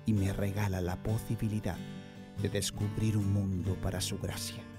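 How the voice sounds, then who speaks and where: A man's voice: on the low side, flat delivery, moderate pace, over music, lightly acted. A man playing a proud, ambitious, devout Spanish naval explorer in a radio drama, with grandiose, formal delivery. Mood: brooding ambition.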